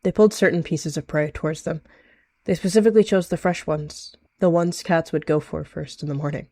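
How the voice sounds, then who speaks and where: A man's voice: fairly high pitch, steady tone, fairly quick, warm tone, dry recording. A man giving dramatic third-person narration as a player in a story roleplay.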